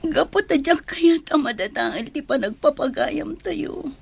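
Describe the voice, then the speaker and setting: A woman's voice: high-pitched, lively intonation, measured pace, vocal fry, exaggerated voice. A woman playing a troubled, pleading young woman in a radio drama, with an Ilocano accent. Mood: anguished, tearful. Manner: tearful, pleading. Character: troubled young woman hiding a painful love secret.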